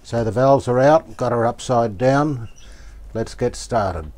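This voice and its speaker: A man giving casual, unhurried commentary as he works, thinking aloud. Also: medium pitch, darkish tone. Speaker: host, radio restorer. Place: small cluttered indoor workshop. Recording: clear background noise, home workshop.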